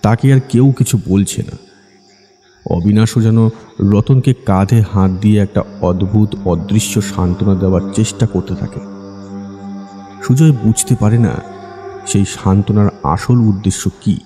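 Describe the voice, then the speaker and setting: Dark, reverberant male ghost story narrator. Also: lowish voice, moderate pace. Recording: long reverb over the voice. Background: soft music.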